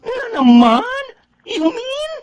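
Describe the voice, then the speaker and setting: Casting: sassy young Filipina cousin, high and very animated, exclamatory, protective, radio drama. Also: female voice, very slow, heavily acted. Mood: shocked, probing. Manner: exclamatory, mixes in English slang-like phrases.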